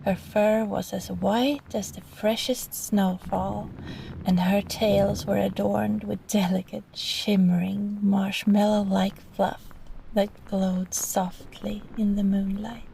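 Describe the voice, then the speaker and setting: A woman reading a bedtime story softly and breathily in a gentle, soothing ASMR manner, often close to a whisper. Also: medium pitch, little pitch movement, vocal fry, a little hiss or hum, low-quality recording. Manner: gentle, slow, soothing delivery. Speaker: storyteller, voice actress. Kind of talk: soft bedtime story reading, sleep-aid ASMR roleplay.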